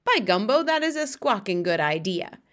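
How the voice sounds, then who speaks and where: A woman voicing a huge gentle male giant in a storybook, talking in mangled, folksy grammar with made-up words. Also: mid-range voice, big pitch swings, moderate pace. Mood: hearty. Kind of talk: storybook read-aloud.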